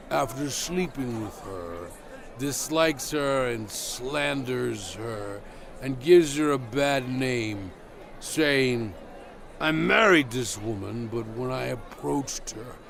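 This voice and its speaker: Guy acting as stern old-world prophet reading out the law, super slow n grave, creaky voice, bible drama style. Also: mid-range voice, a bit bright, exaggerated voice. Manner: grave, deliberate, emphatic delivery. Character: solemn, stern male prophet proclaiming ancient law. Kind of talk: dramatized scripture narration.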